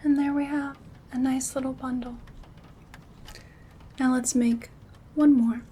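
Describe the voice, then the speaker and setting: Slow, hushed woman acting a gentle witch. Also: sits high, flat delivery, slight background noise. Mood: calm, focused. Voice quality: soft-spoken, often slipping into whispers. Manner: unhurried, step-by-step instruction. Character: calm, gentle witch crafting herb bundles. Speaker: ASMR host. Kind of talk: ASMR roleplay, instructional. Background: rain sounds.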